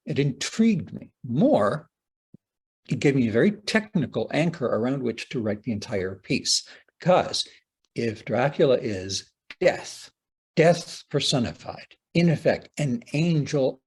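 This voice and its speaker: Male lecturer, playwright type: husky tone, unhurried delivery, theatrical phrasing, deliberate dramatic pauses. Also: medium pitch, a little mellow. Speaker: playwright giving a talk. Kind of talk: prepared conference lecture, read aloud with dramatic phrasing.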